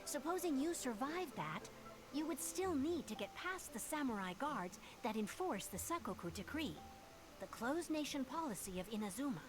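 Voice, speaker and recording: A woman playing an earnest young female refugee in a video game, telling things in a measured, sincere way. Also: high voice, sing-song. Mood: grave. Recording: game music behind the voice.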